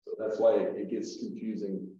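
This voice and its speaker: A man teaching a Greek lesson at a whiteboard, explaining slowly with fillers and restarts, heard through a room mic on a video call. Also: lowish voice, level tone, dark tone, recorded from a distance. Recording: echoey room, dull lo-fi sound.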